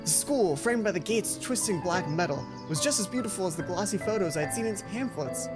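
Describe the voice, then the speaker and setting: Low-pitched woman gamer over music. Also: lively intonation, a little fast. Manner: playful, self-interrupting asides. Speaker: let's play streamer. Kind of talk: reading game script aloud with casual commentary. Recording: music playing behind voice. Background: video game music.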